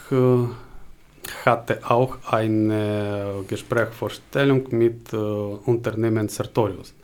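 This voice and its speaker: A man in his forties with a Ukrainian accent answers interview questions. His voice sits slightly low, and he talks very slowly. He hesitates often, feeling for words in a language he learned later. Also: darkish tone. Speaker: interview guest, job seeker. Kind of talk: interview answers in halting second-language German.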